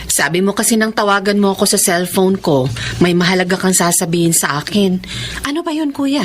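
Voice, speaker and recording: A woman's voice: mid-range voice, expressive, brisk, a little hiss or hum, lightly acted. A woman playing a harried, evasive mother and nagging partner in a radio drama. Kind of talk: Tagalog radio drama acting.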